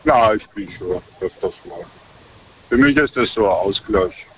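A man's voice: lowish voice, unhurried. Middle-aged male caller, plain and rambling, distant and muffled over a noisy phone line. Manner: plain, rambling, self-correcting. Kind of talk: casual phone chat.

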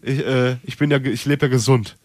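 A male radio host with a mid-pitched voice, recorded dry and close. He chats casually and rambles along in slangy, joking banter. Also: fairly even intonation, fairly quick, darkish tone, no room sound.

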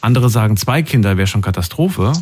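A man's voice: fairly low pitch, lively intonation, brisk, darkish tone. A man hosting a call-in talk show, speaking in a casual, conversational, questioning way with callers. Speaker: radio call-in show host.

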